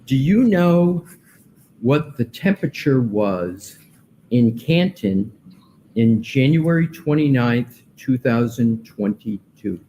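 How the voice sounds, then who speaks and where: A male defense attorney cross-examining a witness in court, asking slow, pointed, deliberate questions. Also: mid-range voice, expressive, dark tone, slight background noise, low-quality recording.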